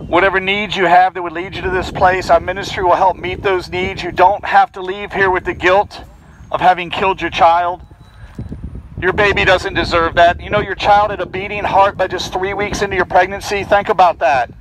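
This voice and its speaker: A man's voice: high-pitched, little pitch movement, normal speed, noisy background. A man preaching in the open air outside a building, calling out insistently to people passing by. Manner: projecting, insistent pleading. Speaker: street preacher. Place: outdoors by a fence and bushes.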